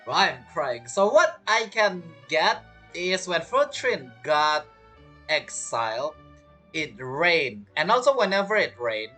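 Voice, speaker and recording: Female streamer: lowish voice, lively swings, giggly casual reactions, game music behind. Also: takes it slowly. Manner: expressive, giggly, slangy. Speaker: gaming streamer. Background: video game music.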